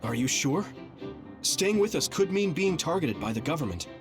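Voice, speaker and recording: A man's voice: fairly high pitch, very animated, normal speed, over music. A man playing an earnest, courteous young squad leader in a video game, troubled and full of questions. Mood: concerned. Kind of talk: anime-style video game cutscene acting.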